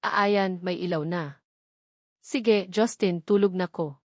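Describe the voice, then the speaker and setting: A woman voicing a gentle, reserved young woman who is an older cousin, speaking softly and politely in an audio drama. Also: fairly low pitch, takes it slowly, no room sound, studio quality. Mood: awkward.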